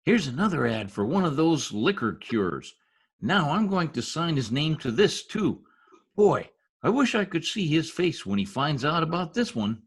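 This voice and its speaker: A man playing a cheeky, excitable teenage schoolboy in an old-time radio comedy. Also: a little high, moderate pace, husky, exaggerated voice. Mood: gleeful. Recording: remote home recording over video call.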